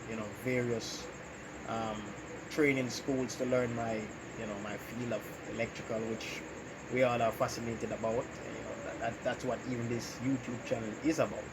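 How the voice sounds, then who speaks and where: Jamaican man, halting and unhurried. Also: mid-range voice. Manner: unhurried, pauses often with "um" and "you know". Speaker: electrician hosting his own channel. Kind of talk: casual interview answers about his life. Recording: noisy background.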